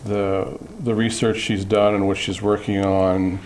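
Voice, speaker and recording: A man, a visiting artist, speaking in an interview in a thoughtful, halting way with many restarts. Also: on the low side, flat delivery, darkish tone, a little hiss or hum. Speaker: guest artist. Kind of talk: interview conversation.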